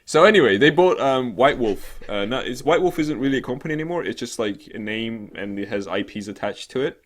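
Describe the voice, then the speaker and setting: A man co-hosting a casual gaming talk show, rambling and halting, with an irreverent, sarcastic, sweary way of talking. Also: mid-range voice, lively intonation. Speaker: co-host of a gaming news show. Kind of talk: casual chat about games news.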